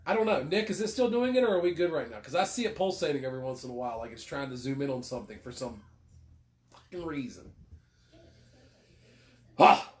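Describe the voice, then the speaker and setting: A man's voice: medium pitch, animated, brisk, pauses often. A man with a cold, casually co-hosting a livestream, rambling, swearing and cracking self-deprecating jokes. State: congested with a cold. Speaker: livestream co-host.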